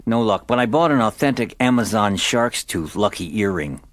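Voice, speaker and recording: An actor in a radio drama playing an excitable, wisecracking, gullible sidekick who talks in comic, repetitive bursts. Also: male voice, lowish voice, moderate pace, dry recording, studio quality, lightly acted. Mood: wry. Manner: breathless comic storytelling, repeats himself.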